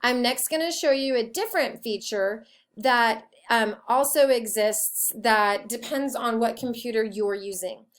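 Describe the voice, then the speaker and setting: Casting: woman teacher, slightly high voice, walking listeners through software step by step, informally. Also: a little slow. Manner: explanatory, step-by-step. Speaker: teacher giving a software tutorial. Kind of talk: informal screencast tutorial.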